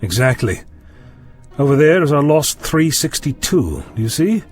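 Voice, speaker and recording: An actor playing a quick-witted, wry, heroic time traveller in an audio drama. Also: male voice, on the high side, sing-song, breathy edge, soft background music. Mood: wry, composed.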